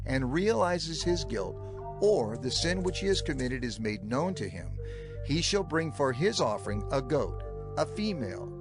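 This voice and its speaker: A man narrating scripture for a dramatized audio production in a measured, solemn, declamatory manner. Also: medium pitch, sing-song. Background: music.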